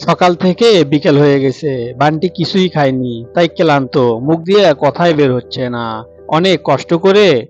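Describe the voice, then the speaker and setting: A man's voice: a little high, unhurried, a little mellow, highs cut off, soft background music. A man narrating a scripted cartoon story as its storyteller.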